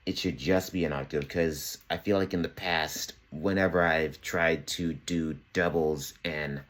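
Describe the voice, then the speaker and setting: Deep-voiced young man, chatty and relaxed. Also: unhurried. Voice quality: bassy voice. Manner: relaxed, chatty, many fillers. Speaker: home music producer. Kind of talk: casual tutorial walkthrough. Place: small home studio room.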